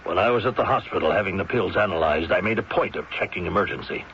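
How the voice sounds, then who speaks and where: A man playing a steady, grave friend with a measured delivery in a 1970s radio drama. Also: deep voice. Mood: stern, accusing. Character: steady, grave friend who exposes a murder plot. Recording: muffled, hissy 1970s radio recording.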